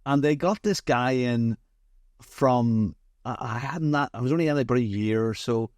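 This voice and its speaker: A middle-aged Northern Irish man hosts a relaxed online chat. He is chatty and jokey, with a mid-pitched voice and a dry, close sound. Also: moderate pace, no echo. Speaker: interview host. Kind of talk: casual online chat interview.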